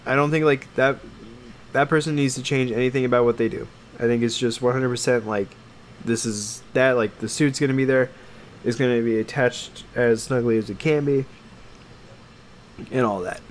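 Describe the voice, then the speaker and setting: Mid-pitched man chatting over background noise. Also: steady tone, moderate pace. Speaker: podcast co-host. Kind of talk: casual opinionated chat.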